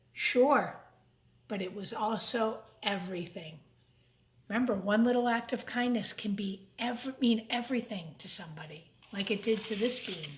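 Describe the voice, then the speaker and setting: Female storytime reader: high voice, unhurried, expressive, addressing young kids, in a roomy, echoing space. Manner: expressive, simple asides to young listeners. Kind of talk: picture-book read-aloud for children with asides. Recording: roomy, echoing room sound.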